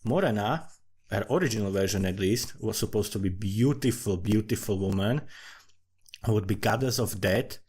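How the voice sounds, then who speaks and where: Low-voiced man with a Slavic accent, rambling casually. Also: darkish tone, husky. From Slavic country. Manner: informal, frequently swearing, halting non-native English phrasing. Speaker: amateur writer.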